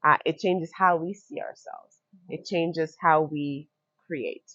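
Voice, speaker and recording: A woman's voice: low-pitched, fairly even intonation, takes it slowly, a bit bright, dry recording. A woman from Nigeria with a Nigerian accent, a guest on a podcast interview, talking earnestly and reflectively and repeating her key points. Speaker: fashion entrepreneur guest. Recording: dull lo-fi sound.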